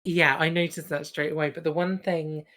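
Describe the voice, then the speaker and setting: A woman speaks as a podcast co-host in relaxed, informal conversation. She is opinionated and puts emphasis behind her views, talking the way a fan does when reviewing something with a friend. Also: deep voice, moderate pace. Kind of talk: casual chat, fan review.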